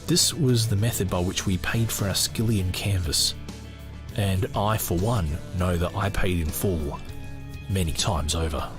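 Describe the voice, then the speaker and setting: Man, deep low voice, podcast book reader, off-the-cuff commentary, faint background music. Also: unhurried.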